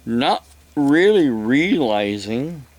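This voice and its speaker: A man giving a rambling, casual explanation to viewers, halting and repeating himself as he goes. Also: mid-range voice, very animated, dry recording. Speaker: vlogger.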